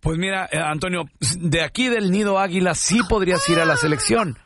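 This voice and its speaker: A man's voice: high-pitched, brisk, background noise. A man with a Mexican accent making a prank phone call, teasing and persuading in a mock-earnest way. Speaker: radio show prank caller.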